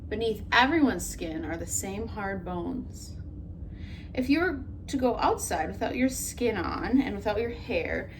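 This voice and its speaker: A woman teacher reads a picture book aloud to children in a gentle, expressive storytelling way, asking questions of her listeners, then talks it over with them. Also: medium pitch, measured pace, poor audio. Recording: noticeable background noise.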